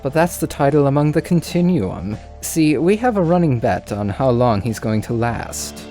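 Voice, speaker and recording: A man's voice: mid-range voice, lively intonation, moderate pace, warm tone, faint music behind, pitched above the performer's own voice, close to an everyday voice. A man voicing a petulant, teasing, all-powerful male trickster being in a story. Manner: petulant, mocking.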